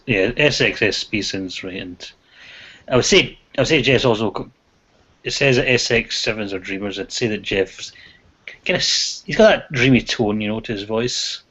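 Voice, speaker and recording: Low voiced guy thinking out loud on a call, muffled lo-fi sound. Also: breathy edge. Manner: thoughtful, analytical, reflective. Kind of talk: casual chat. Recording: dull lo-fi audio.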